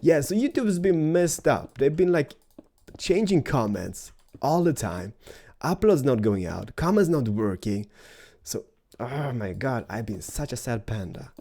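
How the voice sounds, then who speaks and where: A man's voice: medium pitch, animated, measured pace, warm tone. A man with a cold casually narrating a building game, thinking aloud and joking at himself. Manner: playful, thinking aloud, self-mocking. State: has a cold. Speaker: Minecraft building host.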